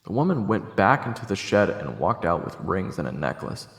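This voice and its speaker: A man playing a calm, courteous investigator in an audio drama, measured and low-key, with a creaky voice. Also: heavily acted. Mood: reflective. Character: calm, courteous male investigator telling his own tale. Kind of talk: audio drama dialogue and first-person narration. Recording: echoey, long reverb, music behind.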